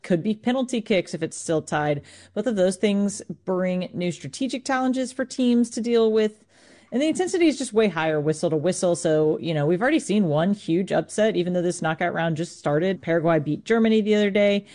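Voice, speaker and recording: An American woman with a slightly low voice gives a live sports report. She explains things informally and conversationally, as a radio correspondent would. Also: expressive, a little fast, darkish tone.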